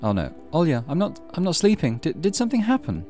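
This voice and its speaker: A gentle, nervous boy from a horror game, voiced by a man. He speaks in a raised pitch with a hesitant, caring manner, over faint music. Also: wildly swooping pitch, fast. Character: nervous young boy, soothing big brother.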